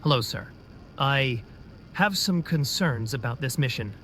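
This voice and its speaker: A man's voice: medium pitch, animated, measured pace, heavy background noise, lightly acted. A man voicing a doubtful young captain who raises anxious, hesitant objections.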